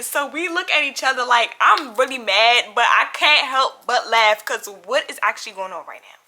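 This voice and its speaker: Very bright, high-pitched young American woman. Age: young adult. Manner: dramatic, slangy, acts out quoted dialogue. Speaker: storytime vlogger. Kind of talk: casual animated storytime.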